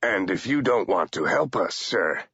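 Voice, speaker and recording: A man voicing a sardonic male agent who talks mildly but with quiet threat. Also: on the low side, animated, measured pace, creaky, slightly rough, no room sound, exaggerated voice. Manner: mild on the surface, quietly threatening. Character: menacing, sardonic male "bad cop" agent. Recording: dull, lo-fi audiobook recording.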